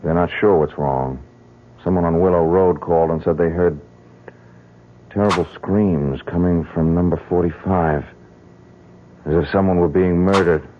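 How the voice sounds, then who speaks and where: Tinny hissy old radio, man acting a low-voiced husband, hesitant and resigned. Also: moderate pace, pauses often, close to an everyday voice. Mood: uneasy, subdued. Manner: hesitant, subdued. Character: uneasy, resigned suburban husband. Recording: tinny, faintly noisy old radio recording.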